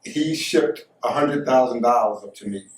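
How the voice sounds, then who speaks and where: A man's voice: medium pitch, moderate pace, a little hiss or hum. A middle-aged American man telling a casual first-person anecdote, often repeating words and phrases as he goes. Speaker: storyteller. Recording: echoey room, distant microphone.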